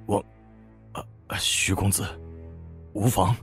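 A very low-voiced, nervous, fawning underling. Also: male voice, steady tone, takes it slowly, slightly rough, faint music behind, exaggerated voice. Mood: nervous, humble. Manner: deferential, fawning, colloquial. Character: awestruck, nervous Taoist trickster reporting humbly to his lord. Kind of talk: Chinese wuxia audio drama acting.

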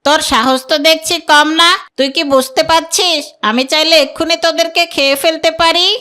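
A woman's voice: very high voice, fairly even intonation, fairly quick, bright, forceful tone. A woman voicing a boastful, bullying fox in a children's cartoon, with a haughty, exclamatory delivery. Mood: menacing, haughty. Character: boastful, bullying fox who fancies himself a scholar. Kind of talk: Bengali children's cartoon voice acting.

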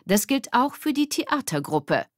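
A woman's voice: a little high, sing-song, a little slow, no room sound, studio quality, voice pushed up. Woman putting on a strict female maths teacher. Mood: stern. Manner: stern, commanding.

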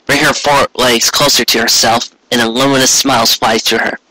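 Male story narrator, bright forceful voice, dry close sound. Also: medium pitch, fairly even intonation, brisk, no echo, poor audio. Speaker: amateur fan fiction reader. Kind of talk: scripted narration, storybook reading.

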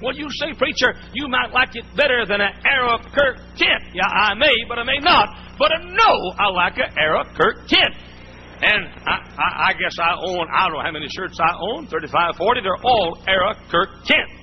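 A man's voice: sits high, animated, moderate pace. A man preaching a sermon in a church, folksy and emphatic, repeating his points. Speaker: pastor. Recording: muffled old sermon tape, faint hiss.

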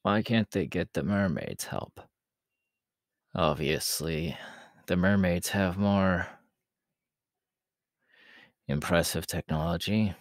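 A man playing a proud, menacing, world-weary demon king in an ASMR roleplay, talking in a deliberate, haughty way that often sinks into breathy whispers. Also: low-pitched, no room sound, studio quality. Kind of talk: ASMR audio roleplay acting.

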